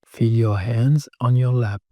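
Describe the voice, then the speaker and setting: A man narrating a guided meditation in a soothing, measured way, speaking calm scripted instructions in short phrases. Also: medium pitch, no room sound. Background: singing bowl tones.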